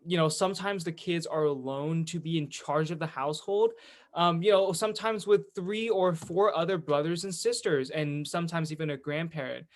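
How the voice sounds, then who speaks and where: Teenage male, slightly high voice, chatty, enthusiastic, informal presenter. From California, United States. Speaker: student presenter, education executive of a youth charity.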